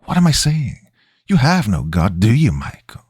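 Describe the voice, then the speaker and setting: A man's voice: medium pitch, huge pitch swings, normal speed, a little mellow, no room sound, studio quality, voice pushed up. A man voicing a mocking, sadistic demon in a horror story, with a guttural, whispery voice and a taunting manner. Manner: taunting, voice shifting between growl, sing-song and breathy whisper.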